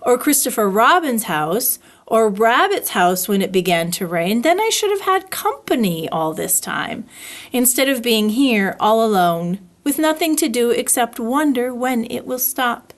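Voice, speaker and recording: A woman's voice: on the high side, big pitch swings, measured pace. A woman voicing a small, timid young male piglet in a storybook, wistful and fretful. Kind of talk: storybook read-aloud.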